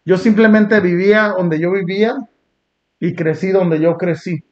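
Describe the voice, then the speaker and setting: A man from Long Beach, California, hosting a podcast and talking in an earnest, reflective way with blunt street slang. He sits on a small dark indoor studio set. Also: sits high, steady tone, unhurried. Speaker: podcast host. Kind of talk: casual reaction chat. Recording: muffled lo-fi sound.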